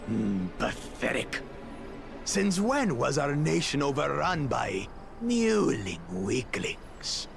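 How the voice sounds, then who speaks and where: A man's voice: medium pitch, sing-song, slow, gravelly, heavily acted. A man voicing a boastful, bullying two-headed reptilian brute in a video game, pompous and sneering. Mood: contemptuous. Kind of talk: scripted video game voice acting. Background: music.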